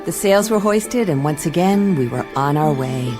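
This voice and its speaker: A woman playing a wry, deadpan adventurous traveller in a radio play, with unhurried delivery. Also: low-pitched, music in the background. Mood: brooding, unhurried. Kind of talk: radio play narration and dialogue.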